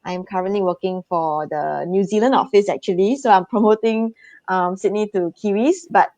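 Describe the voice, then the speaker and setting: A woman's voice: mid-range voice, moderate pace, warm tone. A Singaporean woman guest presenter speaks over a video call in a mid-range voice. She is friendly but hesitant, filling gaps with ums, and the sound is dry with no room echo. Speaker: tourism board guest presenter.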